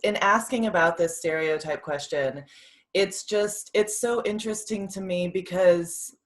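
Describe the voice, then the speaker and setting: A woman's voice: lowish voice, a little slow. A woman with an American accent hosting an interview in an informal, chatty way. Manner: informal, peppers speech with "like". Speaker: podcast host, interviewer. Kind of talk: casual chat.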